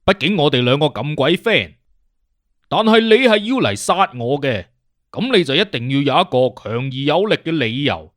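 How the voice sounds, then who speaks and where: Man acting a cocky, animated Cantonese detective consultant. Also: fairly high pitch, no room sound, studio quality. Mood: confident deducing. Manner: self-assured, emphatic, deliberate lecturing. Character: witty, conceited male detective consultant explaining deductions. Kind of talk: audiobook character acting.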